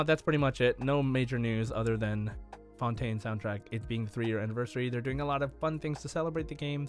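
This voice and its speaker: A man with a medium-pitched voice hosts a casual gaming podcast. He speaks with enthusiasm, in halting bursts with emphatic stresses, over faint music. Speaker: podcast host and quizmaster.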